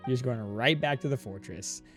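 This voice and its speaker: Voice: medium pitch, brisk, over music. A streamer giving casual, informal reaction commentary on a game, talking expressively to the characters.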